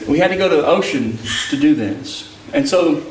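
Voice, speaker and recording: A man's voice: a little high, very animated, normal speed, a little hiss or hum. A man from Texas preaching a sermon and telling stories from his travels, with an emphatic, rising cadence. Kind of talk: sermon with personal storytelling. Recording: echoey hall picked up from a distance.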